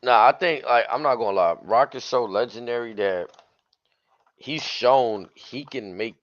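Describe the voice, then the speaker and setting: A man co-hosting a casual wrestling talk stream, his voice a bit hoarse and raspy from yelling, with relaxed slangy banter. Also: medium pitch, measured pace, no room sound. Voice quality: slightly raspy. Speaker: wrestling show co-host. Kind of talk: casual livestream chat. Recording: dull dry lo-fi stream audio.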